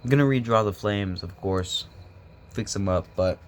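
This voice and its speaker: Young male vlogger, smoke-roughened voice, lowish, loose rambling jokes, phone mic with faint hiss. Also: moderate pace. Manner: meandering, joking, laid-back. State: hoarse from smoking. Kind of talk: rambling casual vlog chat.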